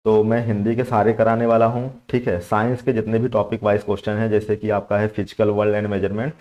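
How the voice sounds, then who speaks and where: A man's voice: fairly low pitch, level tone, a little fast, a little mellow. A young man giving informal exam coaching, explaining step by step and repeating his points with frequent check-in tags. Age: young adult. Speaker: exam coach and channel host. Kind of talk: informal instructional talk to students.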